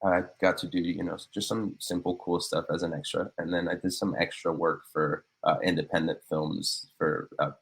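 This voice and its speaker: A man's voice: deep voice, level tone, moderate pace, gravelly, hardly any pauses, fairly bright tone, breathy edge, poor audio. A man in his thirties from Cuba, raised in Miami, talking earnestly as a guest on a podcast, with many fillers and restarts. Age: 30s. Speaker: guest, business owner. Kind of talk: casual podcast interview.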